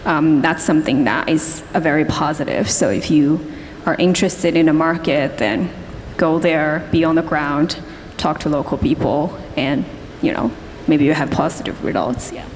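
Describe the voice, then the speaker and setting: A woman speaks as an executive on a conference panel. Her voice is very low for a woman, and she talks in a plain, measured way. A long hall reverb follows her, with faint ambience under it. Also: a little mellow, a little hiss or hum.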